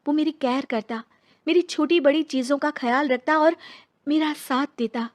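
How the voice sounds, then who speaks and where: An earnest, wronged young divorced woman from a cartoon story, voiced by a woman. Her voice is high and her delivery is plaintive drama dialogue. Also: moderate pace, lightly acted. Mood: aggrieved.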